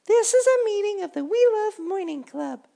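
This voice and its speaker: A tiny male beetle with an extremely high, scratchy voice that leaps wildly up and down. He shouts with fanatical enthusiasm. It is a storybook voice. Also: expressive, moderate pace, creaky, full character voice. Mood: cheerful. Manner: squeaky, shouting, zealous. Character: shrill excitable male beetle club member.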